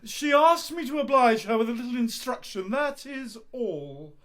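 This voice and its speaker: An actor playing a witty, arrogant, passionate young poet and student in a radio play, with theatrical, declamatory delivery. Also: male voice, extremely high pitch, animated, normal speed, fairly bright tone. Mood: sardonic.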